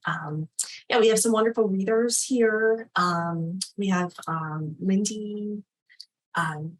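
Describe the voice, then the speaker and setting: A woman's voice: lowish voice, breathy edge, rough recording. A woman hosting a literary event, talking casually and off the cuff in an appreciative, affirming way. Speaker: host and literary magazine editor at a launch party. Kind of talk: casual, spontaneous event moderating and discussion.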